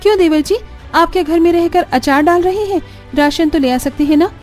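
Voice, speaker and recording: A woman's voice: extremely high pitch, fairly quick, darkish tone, soft background music, voice pushed up. A woman voicing an exasperated young daughter-in-law who grumbles and complains in a cartoon story. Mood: scolding. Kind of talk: Hindi cartoon story acting.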